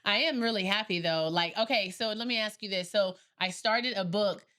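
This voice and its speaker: A woman's voice: medium pitch, moderate pace. A woman in her late thirties co-hosting a podcast, chatting casually and telling stories with lots of quoting and laughter. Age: 30s. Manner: animated storyteller, quotes others, laughs often. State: a little sleepy. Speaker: podcast co-host. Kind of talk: casual chat.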